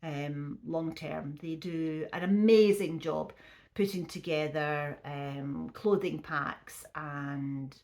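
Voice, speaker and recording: Slow, low-voiced, slightly croaky woman in her fifties. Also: a little mellow. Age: 50s. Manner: chatty, with frequent restarts and ums. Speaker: vlogger. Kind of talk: casual talking to camera.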